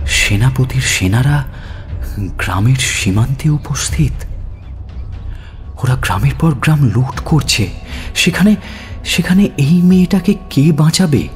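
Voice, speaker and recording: A man's voice: mid-range voice, expressive, slow, pauses often. A man reading a scripted audio story as a dramatic narrator. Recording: music under the voice. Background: background music.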